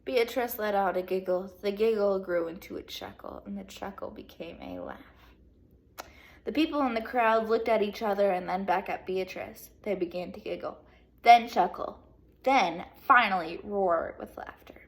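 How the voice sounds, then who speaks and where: A woman teacher reading a storybook aloud to children in an animated way, pausing to put questions to her young listeners. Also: mid-range voice. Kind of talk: expressive children's read-aloud, speaking to young pupils.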